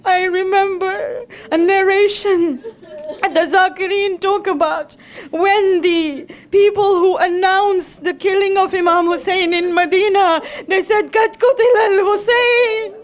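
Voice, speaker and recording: A very high-pitched woman's voice, tearful and breaking, delivers a religious lament. She tells the story slowly and with deliberate weight, dramatic and full of grief. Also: fairly even intonation. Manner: dramatic, emotive storytelling, acting out quoted speech. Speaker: religious lecturer. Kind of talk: mournful religious narration, lament sermon.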